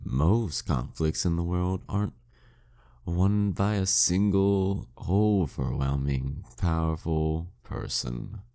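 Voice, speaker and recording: A man's voice: low-pitched, creaky, dark tone, heavily acted. A man playing a proud, dominant demon king in an audio roleplay, teasing and condescending in an intimate scripted monologue. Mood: mocking, teasing. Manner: slow, teasing, condescending, smugly theatrical. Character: dead male demon king, taunting, dominant, proud former nemesis.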